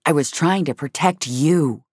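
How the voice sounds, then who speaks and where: A woman puts on an older male agent in an audio drama, a cold betrayer with regret in him. The voice is very deep, grave and unhurried. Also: a little mellow, slightly rough, dry recording, clean studio sound. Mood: regretful. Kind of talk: dramatic audio fiction acting.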